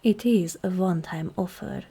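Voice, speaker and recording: A woman playing a regal, seductive ancient demon queen in an ASMR roleplay, measured and commanding, with a husky, breathy, creaky voice that often softens toward a whisper. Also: on the low side. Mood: solemn, persuasive. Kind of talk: ASMR roleplay, scripted monologue to the listener.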